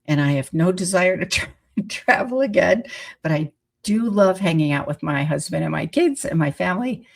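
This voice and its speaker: A woman's voice: on the low side, lively intonation, moderate pace, creaky. A woman in her forties hosting a podcast on play therapy, stuffy from a cold, chatty and laughing often. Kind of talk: casual solo podcast talk.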